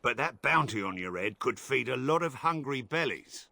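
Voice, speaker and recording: A desperate peasant in a fantasy game. His voice is husky and forceful, rising and falling with blunt, gruff hostility. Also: mid-range voice, expressive, moderate pace, no room sound, full character voice. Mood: grim, hostile. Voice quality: rough, gravelly. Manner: rustic, blunt speech. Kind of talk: video game voice acting.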